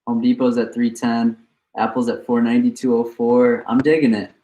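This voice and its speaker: A man's voice: medium pitch, flat delivery, moderate pace, warm tone, low-quality recording. A young man with a nasal voice, chatting casually and upbeat on a trading live stream. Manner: laid-back, upbeat, chatting about trades between songs.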